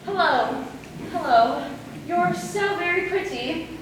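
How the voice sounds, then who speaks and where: Casting: high-voiced young woman playing vain aging screen diva, theatrical fake graciousness; captured distant and echoey on a noisy stage. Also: lively intonation, slow pace, full character voice. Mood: condescending. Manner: theatrical, grandly condescending. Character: vain, jealous older film-star actress, putting on graciousness. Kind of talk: high school stage comedy acting. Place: school stage, recorded from far off.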